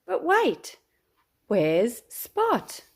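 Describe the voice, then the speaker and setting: A woman with an Australian accent reads a children's storybook aloud like a teacher at storytime. Her pace is very slow and deliberate, and her pitch moves up and down in a lively way for young listeners. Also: husky. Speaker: teacher reading picture books aloud.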